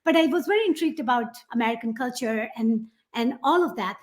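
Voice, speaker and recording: A middle-aged woman with a Pakistani accent, a guest on a podcast, telling her story in a rambling, animated way with many fillers. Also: on the high side, quick pace. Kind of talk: podcast interview.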